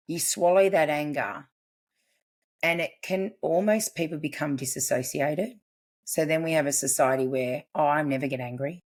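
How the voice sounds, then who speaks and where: Woman, podcast guest, therapist, earnest, explanatory, deep low voice. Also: slightly rough. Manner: earnest, explanatory, quick bursts. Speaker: guest, family constellations practitioner. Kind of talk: casual chat.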